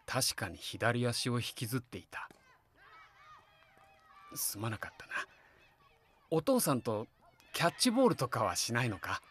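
A man's voice: mid-range voice, fairly bright tone. A man playing a kindly, wistful middle-aged family man in a Japanese voice drama, both narrating reflectively and talking in dialogue. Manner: reflective storytelling alternating with hearty, coaxing dialogue.

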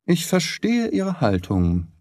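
A man voicing a shrewd, composed private detective in an audiobook, with a measured, polite and slyly mischievous manner. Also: on the high side, huge pitch swings, a little mellow, no room sound, studio quality, voice pushed up, exaggerated voice. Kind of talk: dramatised audiobook reading.

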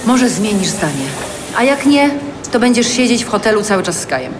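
A woman's voice: medium pitch, big pitch swings, brisk, background noise, lightly acted. An actress playing a harried, defensive mother of two in a naturalistic audio drama. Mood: firm, coaxing.

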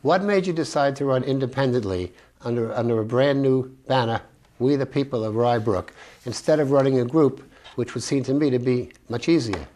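A man's voice: mid-range voice, a little fast, warm tone. A man hosting a local cable interview show, reading formal, scripted introductions and questions. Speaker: host.

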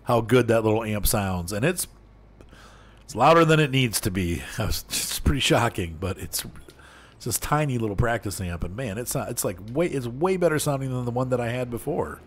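A man's voice: medium pitch, lively intonation, moderate pace. A man chatting casually and rambling to viewers while playing a farming game, laughing often. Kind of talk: casual gameplay chat.

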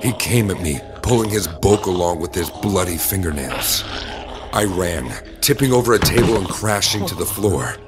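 A very low-pitched man narrates a scripted horror story in the first person. Prominent music plays behind his voice throughout. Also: expressive, normal speed. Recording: music bed under the voice. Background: dramatic background music.

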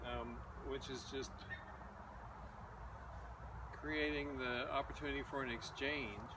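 A man's voice: medium pitch, fairly even intonation. An older man, a boxing coach, explaining and demonstrating moves in a back garden. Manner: slow, plain-spoken, demonstrating moves while talking. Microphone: far camera. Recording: distant outdoor mic with loud background noise.

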